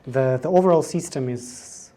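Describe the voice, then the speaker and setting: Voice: medium pitch, normal speed, warm tone. An interviewer asking questions in English with some non-native phrasing.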